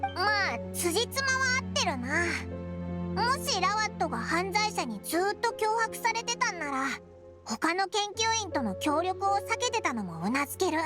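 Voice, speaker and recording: A woman voicing a small, childlike fairy companion in a video game, brash and excitable, with blunt, boyish phrasing. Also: very high-pitched, normal speed, over loud music. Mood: pondering. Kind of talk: Japanese game voice acting.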